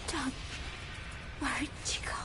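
An actress playing a suffering young female captive in a game drama, tender yet bitter, with a soft, strained, breathy delivery on tape. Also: takes it slowly, in a whisper, music in the background, lightly acted. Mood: tender reassurance.

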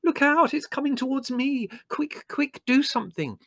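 A man's voice: extremely high pitch, expressive, moderate pace, a bit bright, voice pushed up, full character voice. A man voicing a panicky, easily terrified young woman who screams in frantic repetitions for a storybook.

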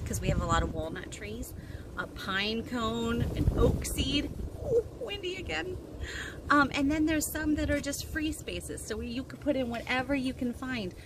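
A woman in her thirties hosting a children's storytime outdoors, talking casually and encouragingly to young kids as she explains activities. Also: on the high side. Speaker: children's librarian and storytime host. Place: outdoors on a backyard deck. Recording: outdoor recording with wind and ambient noise.

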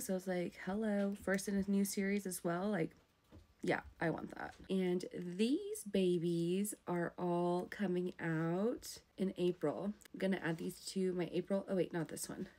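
A woman's voice: fairly low pitch, normal speed, warm tone. A young woman talking casually about her reading in a vlog, rambling with many fillers. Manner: chatty, rambling, lots of "like" fillers. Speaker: book vlogger.

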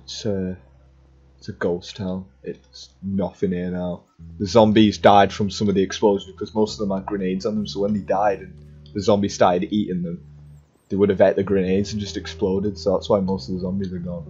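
A man's voice: sits low, flat delivery, moderate pace, mellow. A young man improvising casually in character in a video game roleplay. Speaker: gaming roleplayer. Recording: dull lo-fi game mic, music behind.

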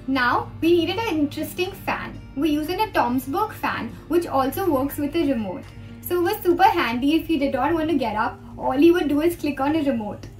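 A young woman, an interior designer, talking to the camera in an upbeat, explanatory way as she presents a design tour. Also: high-pitched. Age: young adult. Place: green-screen studio, indoors. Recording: distant mic with background music.

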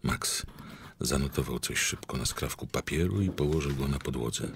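An actor as a solemn storyteller, reading scripted narration for a brooding audio drama. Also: male voice, a bass voice, moderate pace, noisy background. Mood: somber.

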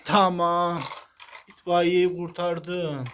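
A woman's voice: on the low side, little pitch movement, very slow, fairly bright tone. Coaxing woman in casual play chat. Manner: coaxing, encouraging, giving simple prompts. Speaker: adult playing along with a young child.

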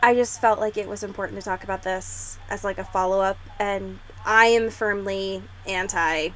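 A woman's voice: medium pitch, steady tone, moderate pace, fairly bright tone, low-quality recording. A young woman hosting a podcast in a casual, sweary way, peppering her talk with "like". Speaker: podcast host.

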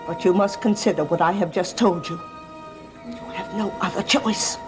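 An older actress playing a stern servant, fiercely loyal to her master, in a gothic soap opera, speaking gravely and emphatically. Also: medium pitch, normal speed, lightly acted. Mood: ominous. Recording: vintage TV soundtrack with music underneath.

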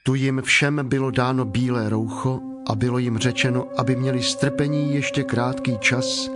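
A man narrating a dramatized scripture reading in a solemn, measured storytelling manner. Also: medium pitch, fairly even intonation, over music.

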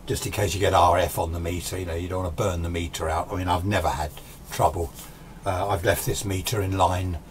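A British man in his sixties giving an informal technical explanation in a casual, rambling, self-deprecating way, as a hobbyist presenter. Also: low-pitched. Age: 60s. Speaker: amateur radio hobbyist presenter. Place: small indoor home radio workshop. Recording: steady background noise.

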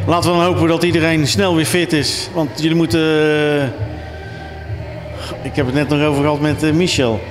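A man's voice: a little high, flat delivery, normal speed. A man, chatting informally as a sports interviewer. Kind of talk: casual post-game interview. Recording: reverberant room, music behind.

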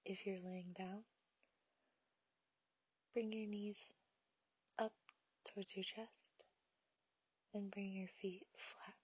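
A woman leading a guided meditation, speaking softly in a half-whisper, slow and soothing, on a dull, dry, lo-fi recording. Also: mid-range voice, level tone, slightly rough, no room sound.

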